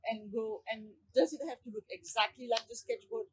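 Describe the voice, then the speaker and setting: Belgian lady, photographer, kinda husky, asks long rambly questions, a bit high. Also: steady tone, normal speed, low-quality recording. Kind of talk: casual interview chat. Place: library exhibit space.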